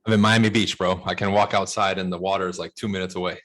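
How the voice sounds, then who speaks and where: American dude mid 30s, low voice, chatting in an interview, lots of slang and emphasis. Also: male voice, level tone, fast, a bit bright. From Los Angeles, United States. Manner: slangy, emphatic, often checks with "right?" and "bro". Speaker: interview guest, real estate broker. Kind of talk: casual interview talk.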